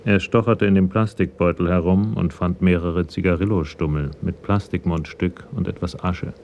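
A man's voice: low-pitched, fairly even intonation, moderate pace, a little mellow, a little hiss or hum. A man narrating a scripted radio crime drama in a matter-of-fact manner.

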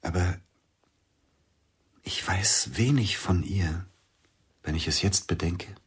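A man playing a grieving widowed concert pianist in a radio play, speaking in a subdued, husky voice. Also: sits low, takes it slowly, full character voice. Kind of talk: radio drama acting.

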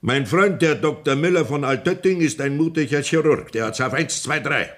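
A man's voice: on the high side, normal speed, a bit bright. A man playing a pompous old country doctor who lectures theatrically in a 1960s radio play. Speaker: Sanitätsrat.

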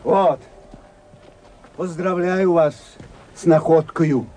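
An actor playing a proud, hurt old army staff captain in a radio play, gruff, brusque and bitter. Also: male voice, a little high, steady tone, extremely slow, warm tone. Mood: scornful. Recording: noisy old radio drama recording.

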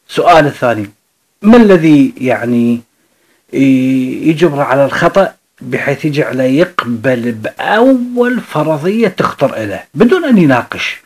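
A man with an Iraqi Arabic accent explaining a self-help book in his own words, unscripted and halting, often pausing and restarting. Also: medium pitch, husky.

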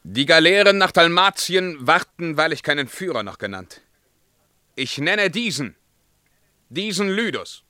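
An actor playing an imperious, self-tormenting emperor in a German radio play, declaiming verse that swings between command and hushed brooding. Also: male voice, a little high, lively intonation, measured pace, no echo, clean studio sound. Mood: grand, commanding.

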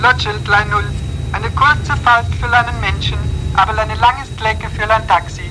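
A man's voice: sits high, steady tone, moderate pace, noisy background, natural voice. A man playing a taxi radio dispatcher in a satirical radio comedy, speaking in clipped call procedure. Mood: brisk, solemn.

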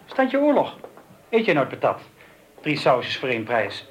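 A man's voice: mid-range voice, huge pitch swings, unhurried, a little hiss or hum, low-quality recording. Wisecracking male cop, swooping intonation. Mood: flippant, teasing. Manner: flippant, sardonic, offhand. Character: cynical, wisecracking male police detective, casually blunt. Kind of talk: naturalistic radio play acting.

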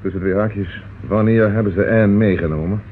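Actor as a dry police inspector, thin old recording. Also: male voice, lowish voice, fairly even intonation, moderate pace, phone-quality sound, slight background noise, close to an everyday voice. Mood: dry, probing. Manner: dry, ironic, controlled. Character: shrewd, persistent, dryly ironic male police inspector. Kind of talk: radio drama acting.

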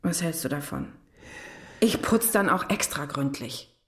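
A cleaning woman in an audio drama, with a lowish voice. She is blunt and big-hearted, and she rambles on coarsely from one thing to the next. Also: lively intonation, unhurried, a bit bright, heavily acted. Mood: friendly, brisk. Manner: garrulous, coarse, tumbling from topic to topic.

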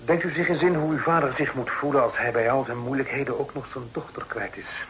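An actor playing an earnest, cautious young gentleman in a period radio drama, speaking in a formal, measured way. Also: mid-range voice, fairly even intonation, close to an everyday voice. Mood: cautious, regretful. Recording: noisy old radio drama recording.

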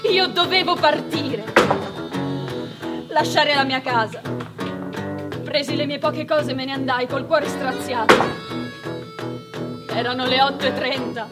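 A woman's voice: high-pitched, measured pace, pauses often. An actress playing a sorrowful woman uprooted by war, giving plaintive, simple testimony in a radio drama. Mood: heartbroken, fearful. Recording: distant voice under loud plucked guitar.

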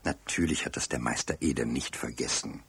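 A man narrating a children's radio play in a measured, wry, expressive way. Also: extremely low pitch, gravelly, breathy edge, no echo, full character voice. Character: calm, wry male storyteller.

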